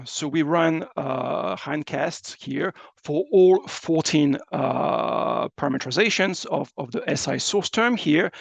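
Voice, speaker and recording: A man giving a scientific presentation as a researcher, explaining slides in a halting, hesitant way with frequent fillers. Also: on the high side, gravelly, no room sound.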